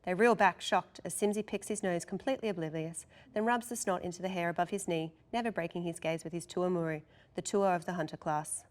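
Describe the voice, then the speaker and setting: A woman's voice: lowish voice, a little fast, hardly any pauses. A woman reading scripted narration and stage directions as narrator at a table read.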